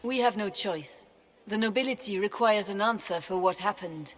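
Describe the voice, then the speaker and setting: A woman's voice: medium pitch, normal speed, lightly acted. Game role: stately empress, played by a woman, formal and composed, mid-range voice, long hall reverb with music. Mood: firm. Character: regal, composed empress addressing her court. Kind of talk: theatrical video game acting.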